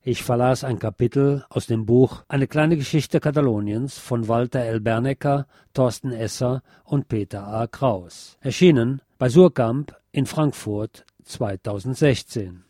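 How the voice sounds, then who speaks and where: A man reading a history text aloud as a radio host, in a measured, factual and formal way. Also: mid-range voice, warm tone, no room sound, studio quality. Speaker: radio programme host reading a book chapter. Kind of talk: scripted narration, reading aloud from a history book.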